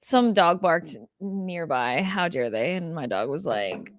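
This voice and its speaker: A woman's voice: low voice, very animated, slow, no echo, studio quality. A woman co-hosting a casual podcast over a video call, with wry, self-deprecating humor and playful asides. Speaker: podcast co-host. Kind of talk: casual chat. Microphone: video call setup.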